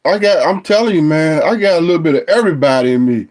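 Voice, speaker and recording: A man's voice: fairly high pitch, lively intonation, fast, darkish tone. A middle-aged man talking through his DNA results on a phone, rambling and joking with frequent restarts. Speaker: vlogger. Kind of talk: casual review of DNA results.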